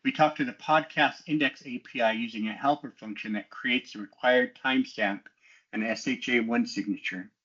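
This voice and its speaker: A man's voice: medium pitch, normal speed, a bit bright, husky. Methodical man presenting, slightly muffled audio. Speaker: student developer presenting a software project. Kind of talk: technical walkthrough, semi-scripted presentation.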